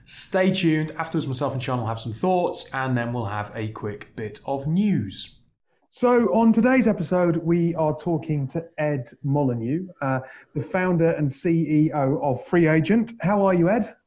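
A man hosting a podcast, chatting and joking with his co-host before interviewing a guest, with quick asides. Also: fairly high pitch, lively intonation, a little mellow.

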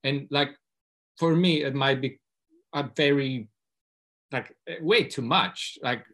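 A man's voice: mid-range voice, slow pace, fairly bright tone. Man, hesitant questioner. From Poland. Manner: hesitant, many uhs and restarts. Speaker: audience member asking a question.

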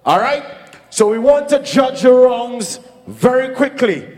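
A very high-voiced man runs the judging at a live sound clash, briskly calling for crowd votes. His voice carries a long hall reverb. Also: expressive, a little mellow. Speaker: clash judge and MC.

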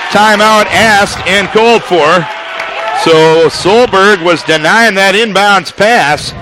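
A man delivers radio basketball play-by-play in a high, bright-pitched voice. He calls the action in rapid bursts that lift into shouts on the big plays. Steady hiss and gym noise sit under his voice. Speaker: play-by-play announcer. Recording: live gym broadcast with crowd noise.

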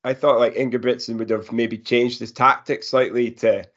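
A man's voice: medium pitch, little pitch movement, normal speed, a bit bright, lo-fi sound. A man co-hosting a podcast in casual sports chat. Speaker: podcast co-host.

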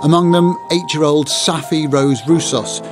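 A man's voice: fairly high pitch, fairly even intonation, a little slow. Male news reporter over music. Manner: formal news delivery.

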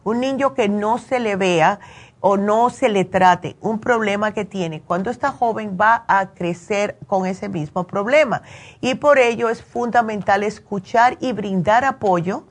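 A woman's voice: mid-range voice, unhurried. A woman from Cuba, raised in the United States, hosting a call-in show and chatting conversationally, often checking for agreement. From born in Cuba, raised in the United States. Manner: chatty, frequently seeks agreement with tag questions. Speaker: radio call-in show host. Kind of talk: conversational advice talk with personal anecdotes.

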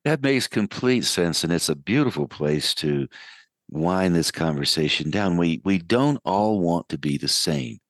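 Radio host, deep low voice, lively melodic delivery, dry close mic, reflective storyteller. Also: male voice, dry recording. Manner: warm, reflective, unhurried storytelling. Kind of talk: interview wrap-up and reflective monologue.

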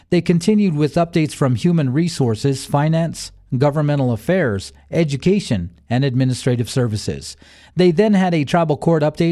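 A man's voice: mid-range voice, mellow. A man reading a scripted local news and sports bulletin as the newsreader. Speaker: local radio news reader.

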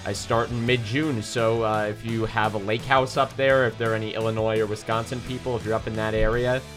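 A young man with a low, booming voice speaks loudly and with plenty of energy, chatting casually about sports on a podcast. Soft music plays faintly in the background. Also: a little fast. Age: young adult. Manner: loud, energetic talker. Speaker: student sports reporter, podcast guest.

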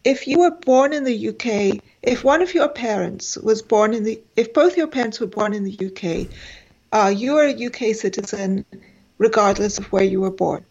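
A woman's voice: mid-range voice, moderate pace, a little mellow, lo-fi sound. A woman in her forties, a guest in an interview, speaking with many fillers and restarts. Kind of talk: conversational interview.

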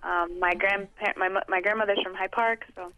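A young woman from Boston, a poet being interviewed, speaking in a measured, thoughtful way with frequent hesitant "um"s. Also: medium pitch, fairly even intonation, sharp tone. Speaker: guest poet. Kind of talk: interview conversation. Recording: dull lo-fi dry recording.

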